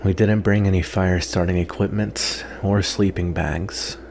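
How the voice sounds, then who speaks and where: A man acting out a proud, sardonic captive vampire in a soft-spoken, disdainful ASMR roleplay. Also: sits low, steady tone, normal speed, gravelly, slight background noise. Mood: dry, wry. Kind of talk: ASMR roleplay, acted monologue.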